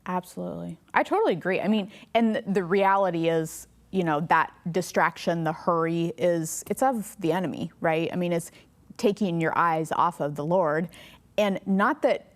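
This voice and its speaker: A woman hosting a faith podcast, asking questions and affirming her guest warmly with quick agreements. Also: lowish voice. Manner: affirming, encouraging, many quick yeahs. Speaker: podcast host.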